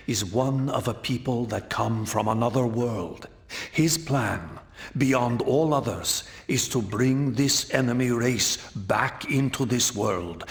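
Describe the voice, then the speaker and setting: An actor playing an ancient, solemn vampire elder in a fantasy game, speaking with measured, formal, portentous gravity. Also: male voice, fairly low pitch. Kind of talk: theatrical fantasy game cutscene acting.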